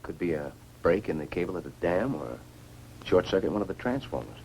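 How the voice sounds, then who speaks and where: Brisk, practical station technician. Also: male voice, sits low, very animated, vocal fry, a little mellow, slight background noise, heavily acted, low-quality recording. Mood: matter-of-fact. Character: rugged, practical male technician at a remote station. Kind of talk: 1950s science fiction film acting. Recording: old film soundtrack with music behind.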